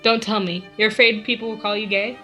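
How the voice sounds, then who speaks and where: A woman's voice: mid-range voice, steady tone, fast, close to an everyday voice. A woman voicing a weak young man in an amateur dramatic reading, faint and wryly teasing. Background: faint classical music.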